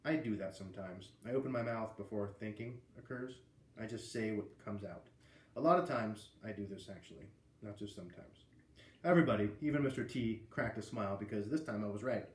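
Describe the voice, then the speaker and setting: A man's voice: fairly low pitch, brisk. American man reading aloud, roomy echo. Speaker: read-aloud reader. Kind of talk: children's book read-aloud with casual asides.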